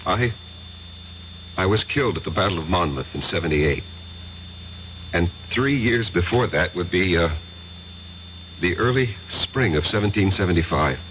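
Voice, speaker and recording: An actor playing an agitated professor in a 1970s radio drama, speaking insistently and haltingly, often repeating himself. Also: male voice, low-pitched, animated, a bit bright. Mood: earnest. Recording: muffled, hissy radio drama recording.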